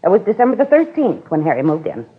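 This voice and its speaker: A woman's voice: mid-range voice, very animated, fast talker, breathy edge. An actress playing a chatty older female neighbor who rambles on about her cat, in 1950s radio drama style. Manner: rambling, gossipy. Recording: tinny old radio broadcast.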